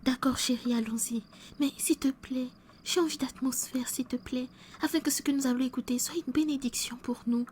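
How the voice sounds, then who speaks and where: A woman playing a caring wife who gently worries about her sad husband in a church skit. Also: high voice, steady tone, normal speed, slight background noise, close to an everyday voice. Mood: concerned. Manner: gentle, concerned, affectionate.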